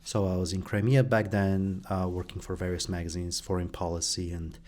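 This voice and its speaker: Interview guest: middle-aged man, very deep voice, halting and thoughtful, lots of fillers. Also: level tone, a little mellow. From Bulgaria. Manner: hesitant, many fillers and restarts. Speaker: guest, journalist and professor. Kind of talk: conversational interview answers.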